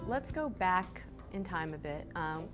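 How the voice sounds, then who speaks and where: A woman's voice: lowish voice, lively intonation, unhurried, music in the background. A woman hosting an interview, asking questions with brief encouraging responses. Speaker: interviewer, host.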